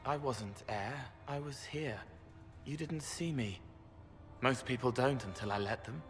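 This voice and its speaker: An actor voicing a gentle, boyish young spirit in a game cutscene, earnest and literal, speaking in short cryptic phrases. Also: male voice, mid-range voice, a little slow, lightly acted. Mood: plain, quiet. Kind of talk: video game cutscene acting. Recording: hall reverb, game music behind.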